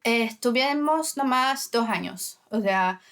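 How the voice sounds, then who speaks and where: A woman's voice: fairly high pitch, expressive, slow pace, a bit bright. A woman from Ecuador in her thirties, speaking hesitantly with many fillers as an interview guest. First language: Spanish. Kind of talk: casual interview.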